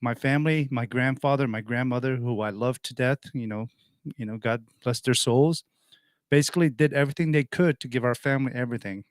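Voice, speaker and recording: Man in his forties, from Portugal, radio host, rambling personal story, mid-pitched voice, dry close mic. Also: fairly quick, dry recording. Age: 40s. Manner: rambling, restarts and self-corrections.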